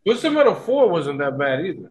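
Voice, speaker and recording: A man on a podcast, chatting casually and excitably, repeating phrases for emphasis. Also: a little high, normal speed. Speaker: podcast guest or co-host. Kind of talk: casual chat.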